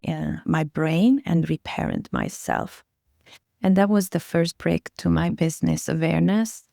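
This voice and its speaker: A woman's voice: lowish voice, creaky, darkish tone, no echo, studio quality. A woman from Hungary with a Hungarian accent, a native Hungarian speaker, telling her story earnestly as an interview guest. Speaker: guest, parenting coach.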